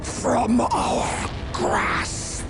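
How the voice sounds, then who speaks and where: An actor playing a fanatical, defiant holy warrior in a video game battle, declaiming in drawn-out, theatrical shouts. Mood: desperate, defiant. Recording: voice under very loud music.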